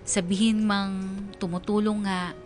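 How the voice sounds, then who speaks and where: A woman's voice: mid-range voice, flat delivery, measured pace, darkish tone, faint music behind, natural voice. A young woman narrating as a troubled, confused daughter confiding in a Filipino radio drama. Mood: resigned.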